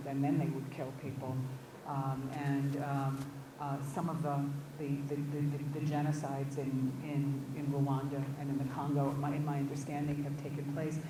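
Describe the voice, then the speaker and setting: A woman with a low voice speaks as a guest in an academic discussion. She thinks aloud in halting, hedged phrases with repeated words. She is away from the mic in an echoey room with clear background noise. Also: little pitch movement, distant mic. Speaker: visiting guest speaker answering audience questions.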